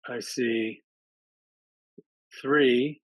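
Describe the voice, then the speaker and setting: A man's voice: mid-range voice, fairly even intonation, very slow, dry recording, rough recording. Man, friendly chatty presenter, video call mic, dry close sound, many ums. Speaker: speaker at an online town hall. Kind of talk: casual presentation to an audience.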